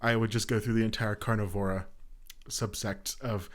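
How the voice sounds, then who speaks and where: A man's voice: on the low side, level tone, moderate pace. Young podcast host guy, chatty, laughs a lot, lots of likes and ums. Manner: rambling, laughs often, many fillers. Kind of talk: casual chat.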